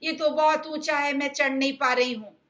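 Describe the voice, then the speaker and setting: A woman's voice: high voice, fairly even intonation, normal speed, a bit bright, voice pushed up. A woman voicing a curious, fussy little girl in a storybook, exclaiming expressively. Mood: complaining.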